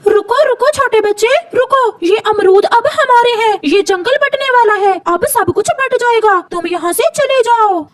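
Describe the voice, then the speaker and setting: A woman's voice: very high voice, normal speed, hardly any pauses, voice pushed up. A woman voicing a selfish, bossy male parrot in a cartoon. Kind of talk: cartoon character acting.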